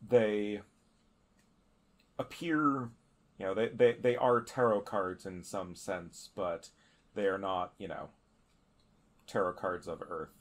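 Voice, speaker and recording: A man narrating a tabletop game as a player, improvising in halting, deliberate phrases. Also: fairly low pitch.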